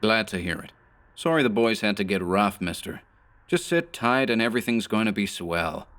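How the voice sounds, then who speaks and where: A man's voice: lowish voice, lively intonation, normal speed, fairly bright tone. A man voicing a short, sallow gang boss who talks in harsh, clipped tough-guy slang. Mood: smug.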